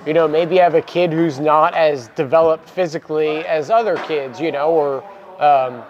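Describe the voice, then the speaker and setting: A young man co-hosting a casual talk about coaching baseball, relaxed and filler-heavy, on a lapel mic in a cluttered equipment room. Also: a little high, moderate pace, lo-fi sound, soft background music. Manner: relaxed, many "you know" fillers. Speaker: baseball program coach and co-host. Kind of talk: casual podcast chat.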